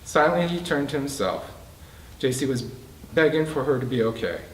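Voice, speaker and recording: A man's voice: mid-range voice, animated, normal speed. Man reading fiction aloud, distant and roomy. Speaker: author reading from own book. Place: bookstore reading event, indoors. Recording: roomy, distant mic, faint background noise.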